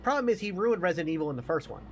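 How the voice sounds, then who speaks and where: A man's voice: high-pitched, very animated, racing, a little hiss or hum. A man in his forties chatting casually as a podcast co-host, opinionated and emphatic, repeating himself to make a point. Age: 40s. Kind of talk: casual chat about movies.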